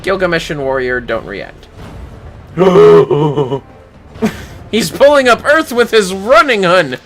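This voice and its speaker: A man's voice: on the high side, sing-song, slow, creaky, fairly bright tone, soft background music. A man hosting a casual game livestream, commenting in an amused, sweary, wry way. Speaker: livestream host. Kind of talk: casual game commentary, reading dialogue aloud.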